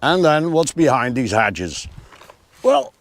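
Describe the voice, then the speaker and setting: Lively-pitched man giving a measured vehicle tour. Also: slight background noise. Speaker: vlogger presenting own motorhome.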